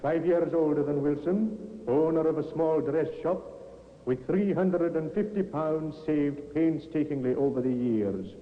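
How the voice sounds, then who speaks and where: An actor playing a probing, impatient police inspector with a clipped, insistent manner in a 1950s radio drama. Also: male voice, fairly high pitch, measured pace, close to an everyday voice. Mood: impatient, pondering. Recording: dull vintage radio recording.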